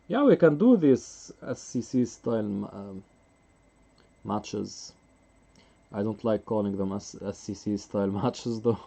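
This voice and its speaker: A man's voice: lowish voice, lively intonation, takes it slowly, vocal fry, mellow. A man streaming live chess commentary in a casual way, chuckling often and answering his chat.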